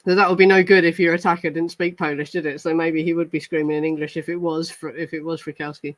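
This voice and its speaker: A man with a somewhat high voice hosts a casual true crime talk, thinking aloud with frequent fillers, restarts and trailing questions. The sound is dry and close, with no room around it. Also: level tone, fast, no room sound. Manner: reads police report passages aloud. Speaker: true crime podcast host. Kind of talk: casual chat reacting to live comments.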